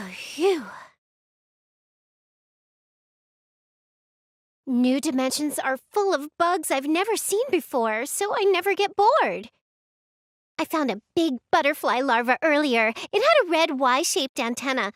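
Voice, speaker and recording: Very high-pitched carefree game girl. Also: sing-song, measured pace, dry recording, studio quality. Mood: laid-back wonder. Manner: carefree, giggly, rambling storytelling. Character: cheerful, dreamy bug-loving young girl in a video game. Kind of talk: English game voice acting.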